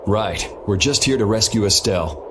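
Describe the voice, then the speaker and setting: A man's voice: fairly low pitch, expressive, normal speed, noisy background, natural voice. A man playing a cynical, self-assured young swordsman in a video game, wry and blunt. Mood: matter-of-fact. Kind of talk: dramatic video game voice acting.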